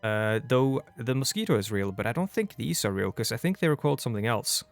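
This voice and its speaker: A young Norwegian man talks through a strategy game in English with a Norwegian accent, chatty and meandering, often breaking off to start a sentence again. His voice sits at an ordinary middle pitch. Soft music plays faintly under him. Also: lively intonation, fast, gravelly, no echo. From Norway. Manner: chatty and rambling, with frequent restarts. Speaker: let's play host. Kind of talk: casual gameplay commentary. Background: faint background music.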